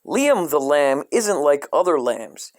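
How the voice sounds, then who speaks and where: A man reading a dark comic coloring book aloud in a deadpan way, breaking off into profane asides. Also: a little high, slow pace, no room sound, studio quality.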